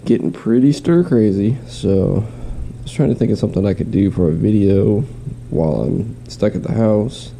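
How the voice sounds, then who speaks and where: Male vlogger, low gravelly voice, dark tone, nasal from a cold, rambling and casual. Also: lively intonation, normal speed, few pauses, a little hiss or hum, poor audio. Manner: rambling, with restarts. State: stuffy nose, slight cough. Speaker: fishing vlogger. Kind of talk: casual show-and-tell. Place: home, in quarantine.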